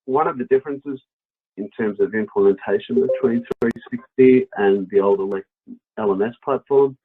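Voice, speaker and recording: A man's voice: medium pitch, moderate pace, slightly rough. An Australian man with an Australian accent presenting a webinar walkthrough in a conversational, explanatory way. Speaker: company product presenter. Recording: dull, lo-fi webinar audio.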